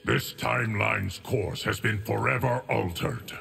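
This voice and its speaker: An actor playing a solemn, ancient male guardian in a video game, speaking in a measured, formal, grave manner. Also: lowish voice, creaky, sharp tone, husky, heavily acted. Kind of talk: video game character dialogue.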